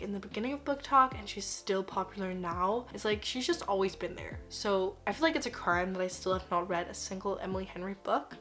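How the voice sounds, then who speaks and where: A woman's voice: medium pitch, a bit bright. An 18-year-old woman from Denmark, chatting casually to camera as a book vlogger, gushing and full of "like" fillers. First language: Danish.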